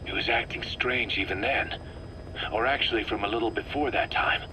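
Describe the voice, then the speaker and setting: Military second-in-command for a game , played by a man: lowish voice, pragmatic, clipped and explanatory, clearly noisy backdrop. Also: moderate pace, natural voice. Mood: measured, wondering. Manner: clipped, matter-of-fact, explanatory. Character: pragmatic, stern military second-in-command briefing his boss. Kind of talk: mission briefing in dramatic game audio.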